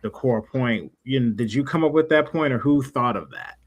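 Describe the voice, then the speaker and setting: A man's voice: mid-range voice, moderate pace. A man hosting a casual livestream interview, talking informally with his guests. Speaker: podcast host and interviewer. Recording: noticeable background noise.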